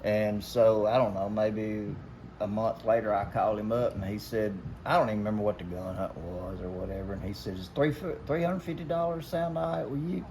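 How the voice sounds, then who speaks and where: A man's voice: on the low side, fairly quick, a little mellow. An older man with a Southern US accent telling stories casually in a folksy, emphatic way, quoting old conversations as he goes. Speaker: guest telling hunting stories. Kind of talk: casual storytelling. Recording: noisy, roomy, somewhat distant pickup.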